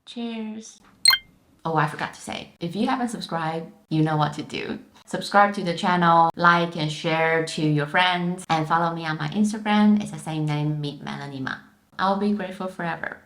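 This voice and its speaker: A woman's voice: on the low side, normal speed, a bit bright. A young woman from Taiwan chatting candidly to camera as a vlogger, often repeating words for emphasis. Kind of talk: casual vlog talk. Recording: echoey room.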